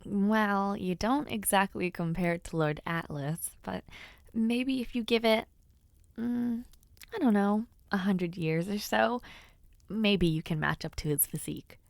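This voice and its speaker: A woman's voice: medium pitch, measured pace, creaky, no echo. A woman voicing a young noblewoman in an audio roleplay, playful and teasing, sometimes flustered while giving compliments. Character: teasing young noblewoman before her debut. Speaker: voice actor.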